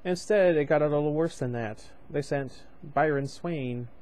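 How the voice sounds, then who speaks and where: A man's voice: a little high, measured pace, a little mellow. A young man reading a novel aloud in a casual way, with chatty asides, a rambling habit and frequent moments of losing his place. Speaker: book reader, channel host.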